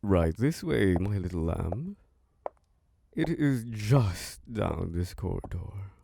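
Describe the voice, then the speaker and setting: A man voicing an ancient, charming and possessive male vampire lord who hosts a masquerade, speaking in a slow, seductive, close-mic ASMR roleplay with soft chuckles. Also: lively intonation, a little hiss or hum, exaggerated voice. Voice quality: low gravelly voice. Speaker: castle host.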